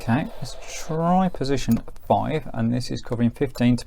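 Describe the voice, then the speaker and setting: A man reviews a gadget in a casual, hands-on way. His mid-pitched voice has some fry in it, and he thinks aloud with small hedging asides. The amateur recording carries light hiss and clicks. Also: moderate pace. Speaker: product reviewer.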